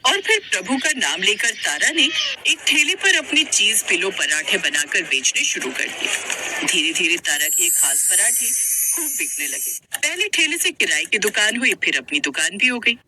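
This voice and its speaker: A woman's voice: mid-range voice, sing-song, normal speed, heavy background noise. A woman narrator reading a scripted moral story in Hindi.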